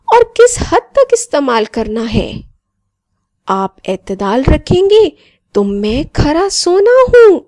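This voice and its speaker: A woman voicing a friendly, boastful talking mobile phone that narrates and moralizes to children in an expressive storybook style. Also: sits high, no echo.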